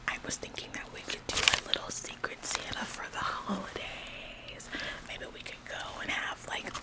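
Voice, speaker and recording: A woman's voice: close to an everyday voice, low-quality recording. A woman playing an overeager, bossy, relentlessly upbeat female office worker in a whispered ASMR roleplay, with a passive-aggressive, falsely modest manner. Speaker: ASMR performer.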